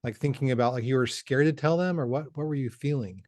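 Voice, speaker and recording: A man's voice: mid-range voice, fast, a little mellow, no room sound, clean studio sound. A man interviewing a guest in a casual, encouraging way, with many fillers. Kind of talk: conversational interview.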